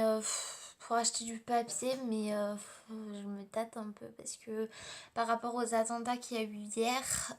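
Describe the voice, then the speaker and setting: A tired, slightly hoarse young woman, rambling casually through a home vlog on her tablet, full of fillers and restarts. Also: fairly high pitch. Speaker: vlogger. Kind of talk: casual daily vlog chat. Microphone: tablet camera.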